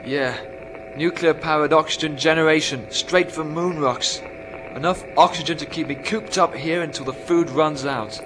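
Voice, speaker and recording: An actor playing a lonely, stranded astronaut fraying on a moon base in a radio drama, emphatic and agitated. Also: male voice, fairly high pitch, moderate pace, over music, close to an everyday voice, low-quality recording. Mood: lonely, frustrated. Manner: emphatic, self-addressing, agitated.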